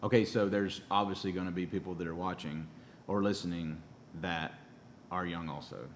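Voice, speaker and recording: A man in his forties hosts a casual business interview, asking questions and backing up his guest with short affirmations. His voice sits very low, and a faint rumble runs underneath. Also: steady tone, moderate pace. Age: 40s. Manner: affirming, with frequent short backchannels. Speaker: podcast host.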